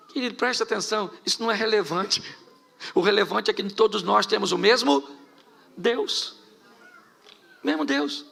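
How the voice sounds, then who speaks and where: A man's voice: high-pitched, creaky, sharp tone. A middle-aged man from Rio de Janeiro with a Carioca accent, preaching a humorous live sermon with jokey stories and rhetorical questions to the congregation. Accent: Carioca Portuguese. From Rio de Janeiro, Brazil. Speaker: pastor. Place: church hall before a congregation.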